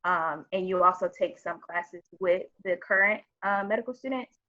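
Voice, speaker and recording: Low-pitched woman on dull call audio. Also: normal speed. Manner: relaxed, filler-heavy explaining. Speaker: medical student panelist. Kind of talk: casual account on a video call. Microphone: video call.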